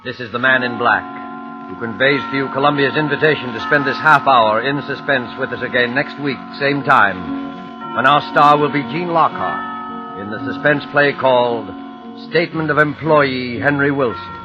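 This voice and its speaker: A man announcing the close of a 1940s radio drama in a formal, deliberate way. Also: mid-range voice. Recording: dull 1940s radio with music.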